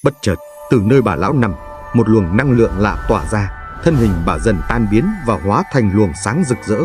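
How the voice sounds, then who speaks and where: A man with a fairly low voice tells a folk tale as dramatic scripted narration. His delivery is solemn and full of feeling, and very prominent music plays behind him. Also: animated, moderate pace.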